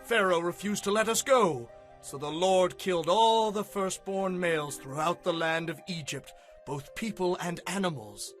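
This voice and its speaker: A man's voice: high voice, normal speed, a bit bright, soft background music. A man playing a fervent prophet solemnly addressing his people in a dramatized Bible reading, with a declamatory, emphatic delivery. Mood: solemn, instructing.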